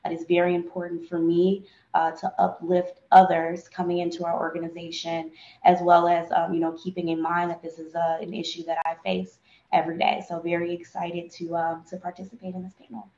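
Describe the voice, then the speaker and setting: A woman's voice: fairly low pitch, completely flat delivery, brisk, darkish tone. A woman working as an insurance broker, introducing herself on a video call in a hesitant way with many fillers. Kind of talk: casual self-introduction. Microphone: webcam video call. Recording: roomy, dull-sounding webcam audio.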